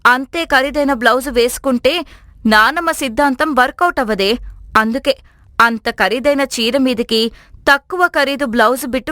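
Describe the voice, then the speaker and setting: A woman's voice: high-pitched, a bit bright, dry recording, studio quality. A woman voicing an earnest, stubbornly philosophical young bride in a moral story cartoon. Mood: earnest, preachy.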